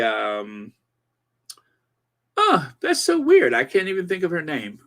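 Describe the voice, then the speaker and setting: A man in his forties hosting a casual commentary channel, rambling with many pauses and fillers while reading viewer comments. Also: fairly high pitch, fairly bright tone. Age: 40s. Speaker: channel host.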